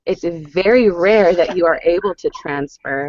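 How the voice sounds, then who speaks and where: A woman's voice: on the low side, animated, a little fast, darkish tone. A woman working as a college advisor, explaining and answering questions on a conference call. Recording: dull lo-fi conference call line.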